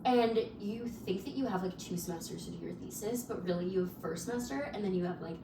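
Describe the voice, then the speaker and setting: A woman's voice: mid-range voice, fast talker, gravelly, echoey room, far from the mic, background noise. A woman in her twenties, a guest chatting casually, with lots of "like" and frequent laughs. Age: 20s. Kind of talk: casual chat.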